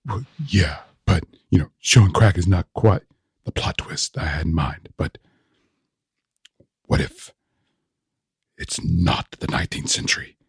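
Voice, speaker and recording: A man playing a muddled filmmaker in a comic radio sketch, pitching ideas haltingly in a gravelly, husky voice. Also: dry recording, clean studio sound, heavily acted. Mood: earnest pitching. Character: male filmmaker obsessed with plot twists, muddled, brainstorming aloud. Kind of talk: comic audio sketch acting.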